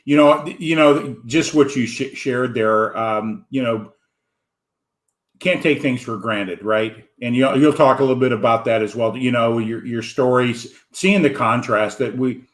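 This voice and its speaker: American guy hosting a live show, mid-pitch, rambly with lots of you knows, echoey room. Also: measured pace. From United States. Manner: rambling, many fillers. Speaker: host. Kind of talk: online interview show. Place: home in Wisconsin.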